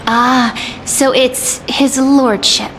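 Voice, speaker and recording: A woman voicing a calm, perceptive young heroine in a video game, polite and measured as she speaks. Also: high-pitched, in a large hall, a little hiss or hum, exaggerated voice, poor audio. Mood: polite probing. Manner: polite, measured, coolly observant. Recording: music under the voice.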